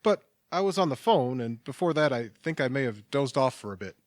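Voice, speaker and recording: Actor as a wry, kindly man, lively pitch. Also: brisk, dry recording, close to an everyday voice. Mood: matter-of-fact. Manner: dry-witted, conversational. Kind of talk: audio theatre acting.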